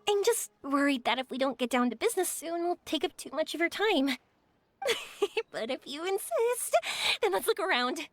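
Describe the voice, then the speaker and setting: Game heroine: young, enthusiastic traveller, very high and animated, exclamatory delivery. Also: female voice, normal speed, soft background music. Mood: delighted. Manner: exclamatory, eager, chatty. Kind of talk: video game voice acting.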